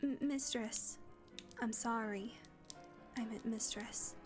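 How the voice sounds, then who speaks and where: Glib, sardonic young vampire played by a woman, high feminine voice, placating audio-roleplay delivery with music behind. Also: steady tone, takes it slowly. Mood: nervous, apologetic. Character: wry female vampire fledgling, flattering her mistress.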